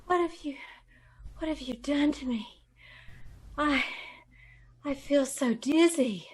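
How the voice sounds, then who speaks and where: A woman's voice: sits high, takes it slowly. A woman playing a nervous, polite young job seeker in an old-time radio horror play, hesitant and stammering. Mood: dizzy, fading.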